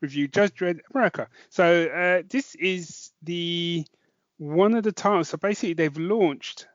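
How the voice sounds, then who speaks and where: A man's voice: high-pitched, measured pace, no room sound, muffled recording. A middle-aged British man co-hosting a podcast review in an informal, rambling, conversational way. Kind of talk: casual chat podcast review.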